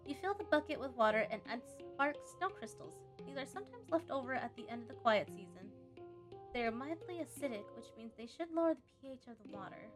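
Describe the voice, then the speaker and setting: A woman doing a casual let's play, reading on-screen game text aloud and breaking off to think out loud. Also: sits high, expressive, fairly quick, music in the background. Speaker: gaming video host.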